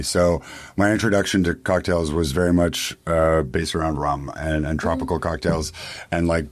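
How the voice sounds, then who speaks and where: A man from Quebec with a Canadian English accent, talking casually as a podcast interview guest. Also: deep voice. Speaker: interview guest, bar owner and beverage director.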